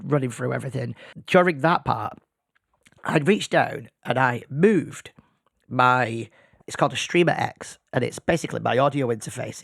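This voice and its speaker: A man's voice: medium pitch, gravelly, no echo, clean studio sound. A man who is losing his voice, hosting a casual solo podcast in a self-deprecating, rambling way. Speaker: podcast host. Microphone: podcast microphone.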